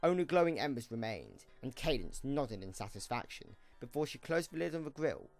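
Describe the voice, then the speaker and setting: A man narrating a scripted story for an audio drama in an expressive storytelling manner. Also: mid-range voice, fairly quick, creaky, soft background music.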